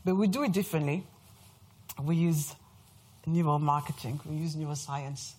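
A woman in her thirties with a French accent speaks to a live audience in an event room. Her voice sits a little low and has a husky edge. She talks in a chatty, self-mocking way, joking at her own expense as she presents. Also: moderate pace, a little mellow. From France. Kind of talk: lively presentation talk.

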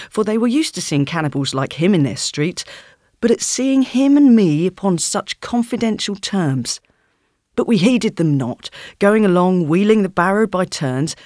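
An audiobook narrator with a mid-range voice reads literary prose in a deliberate, storytelling way. The intonation is lively and moves freely up and down. The recording is close and very dry, with no room sound. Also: medium pitch, no room sound. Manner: expressive, measured storytelling with deliberate phrasing. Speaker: audiobook reader.

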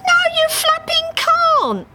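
A woman's voice: extremely high pitch, huge pitch swings, takes it slowly, sharp tone, faint music behind, pitched above the performer's own voice, exaggerated voice. A woman voicing a bossy, scheming female duck dance teacher with a brash, colloquial manner, in a storybook reading. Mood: exasperated. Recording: live theatre recording with background noise.

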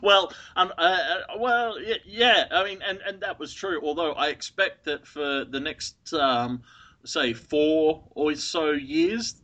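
A man with an Australian accent co-hosts a loose, chatty podcast. His voice is bright and punchy, and he talks in an irreverent, joking way peppered with swearing. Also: fairly high pitch, slow pace. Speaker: podcast co-host. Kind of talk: casual chat.

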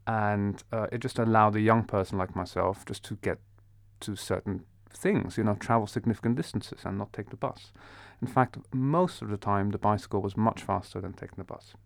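Low-voiced man hosting, slightly gravelly. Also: fairly even intonation, moderate pace, no echo, studio quality. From Netherlands. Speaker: interview host, academic economist. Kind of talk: conversational academic interview.

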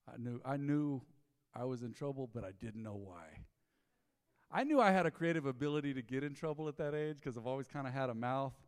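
Folksy preacher in a reverberant hall. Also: male voice, animated, a little fast, clear, resonant tone. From Texas. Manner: folksy storytelling, swings from low asides to loud emphatic bursts. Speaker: pastor. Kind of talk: sermon. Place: church sanctuary.

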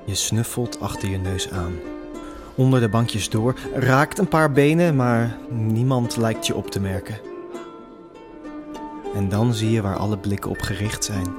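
A man's voice: fairly low pitch, a little slow. A man narrating an immersive audio drama in the second person, with a suspenseful storytelling manner. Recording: music behind the voice. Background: music.

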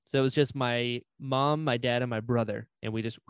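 A man's voice: mid-range voice, steady tone, normal speed, clear, resonant tone, no room sound. A man of thirty with an American accent, chatting casually as a podcast host and interviewer. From North Carolina and Florida, US. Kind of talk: casual chat.

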